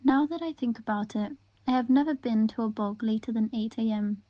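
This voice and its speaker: A woman reading interview answers aloud in the first person, in an even, gentle and unhurried way. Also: a little high, no echo, highs cut off.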